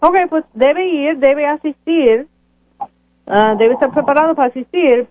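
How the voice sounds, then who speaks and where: A woman's voice: a little high, a little hiss or hum. A woman lawyer answering callers on a radio call-in show, hesitant, with many fillers and restarts. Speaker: immigration lawyer. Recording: tinny phone-like radio audio, dry.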